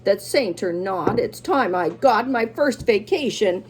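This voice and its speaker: A man's voice: high-pitched, animated, unhurried. A woman voicing a weary, fed-up old Santa Claus in an emphatic rhyming storybook complaint, with background noise. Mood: indignant.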